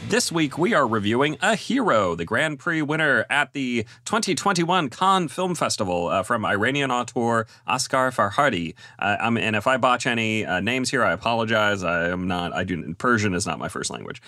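A male podcast host with a lively, bright voice that rises and falls a lot. He reads out a film review with many small "uh" fillers. The sound is close and dry. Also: mid-range voice, normal speed, hardly any pauses, dry recording. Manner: moderating, reading a film summary with many "uh" fillers.

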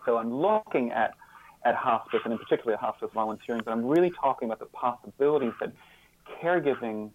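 A man speaking as an interview guest, explaining in a halting way, repeating words and stressing key terms. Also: mid-range voice, lively intonation, narrow, tinny audio, slight background noise. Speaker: interview guest, author and sociologist.

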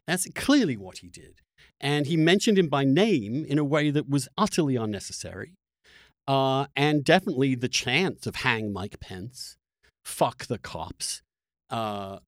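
Animated man in heated political debate. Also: mid-range voice, no echo, clean studio sound. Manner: emphatic, argumentative, interrupting. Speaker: podcast host debating a guest.

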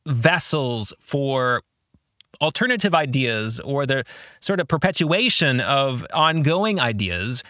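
A middle-aged man hosting a reflective book podcast, speaking deliberately with thoughtful pauses and putting questions to listeners. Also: mid-range voice, sharp tone, dry recording, studio quality. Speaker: podcast host and author discussing his own novel.

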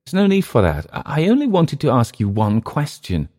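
A man voicing a bitter, dissolute Englishman with an English accent, sneering and halting by turns. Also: mid-range voice, wildly swooping pitch, a little mellow, pitched above the performer's own voice. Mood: uneasy.

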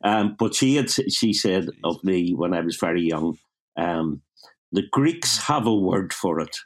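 A man's voice: low-pitched, expressive, unhurried. A man in his fifties with a Belfast accent, a writer telling wry, self-mocking stories from his childhood in a casual interview, often restarting his sentences. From Northern Ireland. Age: 50s.

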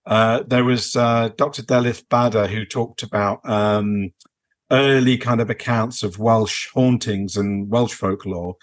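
A British man co-hosting a podcast in casual, unscripted chat, with a halting, rambling way of building his sentences. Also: fairly low pitch, fairly even intonation. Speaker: podcast co-host.

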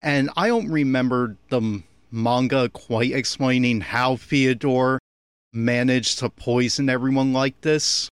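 A man's voice: medium pitch, fairly even intonation, measured pace, clean tone, no echo, clean studio sound. A man giving an opinionated, critical audio commentary on an anime.

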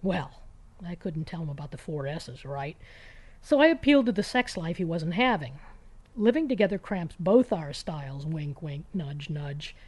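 Female narrator, lower register, for first-person short fiction; conversational, wry delivery with punchy emphasis. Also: lively intonation, normal speed, a little mellow, breathy edge. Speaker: author reading own short story.

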